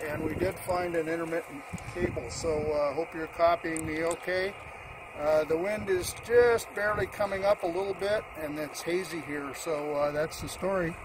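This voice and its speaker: A man's voice: fairly high pitch, steady tone, normal speed. An older man working as an amateur radio operator, giving call signs and repeating short radio phrases. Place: outdoors on an open hilltop. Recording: noisy outdoor field recording.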